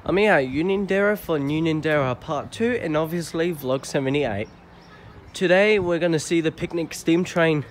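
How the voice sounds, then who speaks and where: Young male train vlogger, slightly high voice, casual and halting, outdoor camera mic with faint noise. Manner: chatty, hesitant, addresses viewers as guys. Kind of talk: casual vlog narration. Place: outdoor railway platform. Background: faint outdoor ambience.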